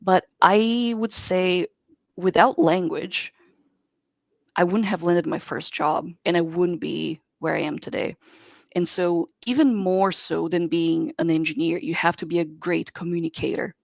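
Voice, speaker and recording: A woman from Brazil, a native Portuguese speaker, speaking as a software engineer guest in an online interview and giving earnest, expansive advice. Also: lowish voice, steady tone, measured pace, gravelly, dry recording. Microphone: video call. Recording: dull dry video call audio.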